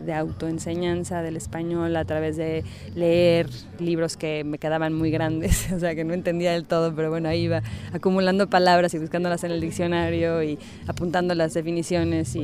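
A woman writer with a slightly unusual Mexican Spanish accent, answering interview questions thoughtfully and searching for her words. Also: lowish voice.

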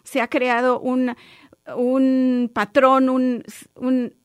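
A woman lawyer, a native Spanish speaker, explaining a legal point in a radio discussion, measured with frequent fillers and restarts. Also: high-pitched, monotone, clear, resonant tone, no room sound. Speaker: lawyer guest.

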